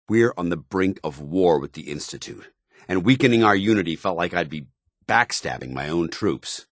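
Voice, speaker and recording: An actor playing an earnest, honour-bound soldier paladin in a video game, with a firm voice and grave, formal, deliberate delivery. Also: male voice, sits low, a bit bright, dry recording, studio quality. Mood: determined. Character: earnest, honour-bound military paladin, a synthetic man troubled by his identity. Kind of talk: dramatic video game dialogue.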